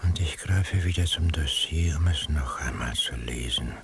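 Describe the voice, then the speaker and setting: An actor playing a weary, brooding surveillance eavesdropper in a radio drama monologue, speaking introspectively in a breathy half-whisper. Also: male voice, rumbling low. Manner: slow, measured, introspective. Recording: hissy radio drama recording.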